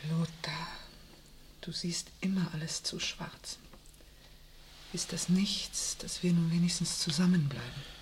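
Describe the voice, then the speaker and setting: A woman's voice: on the low side, fairly even intonation, slow pace, long pauses, whispered. An actress playing a hopeful but anxious young wife and mother in a 1960s radio drama. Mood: hushed, sad.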